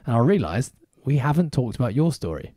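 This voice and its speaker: A man's voice: medium pitch, sing-song, fairly quick, warm tone, no echo. A man hosting a podcast in casual interview style, chatty and upbeat with joking asides. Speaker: podcast host. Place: podcast studio in Hong Kong.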